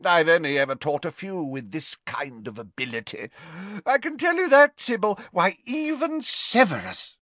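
A man voicing a tipsy, jovial older male professor who gushes and booms his words. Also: sing-song, moderate pace, no room sound, exaggerated voice. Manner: hearty, gushing, loud exclamations.

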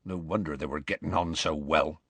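Gruff, rustic big fellow. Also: male voice, very deep voice, fairly even intonation, fairly quick, a bit bright, no echo, pitched below the performer's own voice, full character voice. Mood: earnest explaining. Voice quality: gruff, growly. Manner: colloquial, rambling, dropped consonants. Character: big gruff rustic man, warm-hearted, indignant storyteller.

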